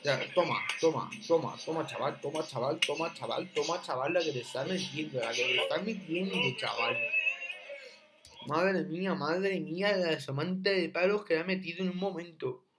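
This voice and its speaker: A young man hosting a reaction video, mocking with loud repeated exclamations as he comments on clips from his room. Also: a little high, normal speed, heavy background noise.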